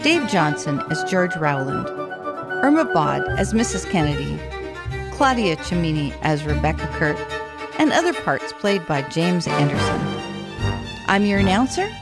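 A woman's voice: on the low side, big pitch swings, a little slow, over loud music. A woman announcer reading scripted radio drama credits.

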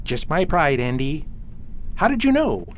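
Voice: mid-range voice, very animated, unhurried, a little hiss or hum. An actor playing a weary, loyal space detective in an audio drama, blunt and incredulous in manner. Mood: wry, weary.

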